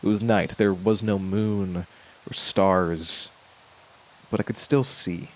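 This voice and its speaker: A man playing a brooding, haunted narrator in a horror audio drama, with a grave, halting and reflective delivery. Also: low-pitched, steady tone, rough recording.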